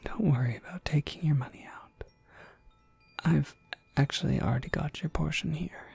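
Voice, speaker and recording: A woman playing a courteous, businesslike witch shopkeeper in a soft, measured ASMR whisper. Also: deep voice, creaky, slightly rough, dry recording, full character voice. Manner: measured, polite, gently explanatory.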